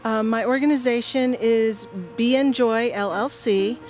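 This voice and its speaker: A middle-aged woman born in the Philippines, answering interview questions in a hesitant, soft-spoken way, often pausing and restarting. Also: a little high, soft background music. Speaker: guest, veteran and art-program founder.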